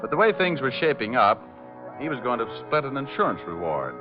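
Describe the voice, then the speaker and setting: An actor playing a cool, mercenary private detective in 1940s radio style, dry, laconic and wisecracking. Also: male voice, medium pitch, big pitch swings, brisk, close to an everyday voice. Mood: wry narrating. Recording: dull vintage radio recording with music.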